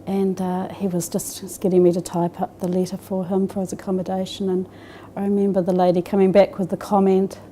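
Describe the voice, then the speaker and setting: Soft-toned New Zealand woman, hesitant. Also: mid-range voice, completely flat delivery, brisk, a little hiss or hum. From Scotland, raised in New Zealand. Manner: hesitant, many fillers and restarts. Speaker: interviewee. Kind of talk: personal testimony.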